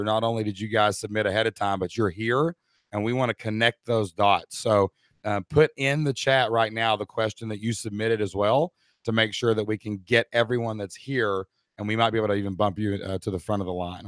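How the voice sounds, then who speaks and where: A middle-aged man, hoarse-voiced, co-hosting a live online Q&A and talking straight to the chat audience in an upbeat way. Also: lowish voice, a bit bright, dry recording, studio quality.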